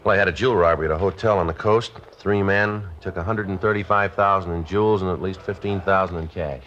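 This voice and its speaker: A man with an American accent playing a terse, level-headed police sergeant in a 1950s radio drama, speaking in clipped, deadpan sentences. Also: deep voice, fast talker. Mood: businesslike. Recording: dull, noisy old radio recording.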